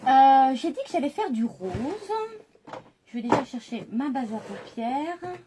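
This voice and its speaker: A woman's voice: fairly high pitch, darkish tone. A woman chatting through a makeup tutorial and explaining each step to her viewers. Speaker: makeup vlogger.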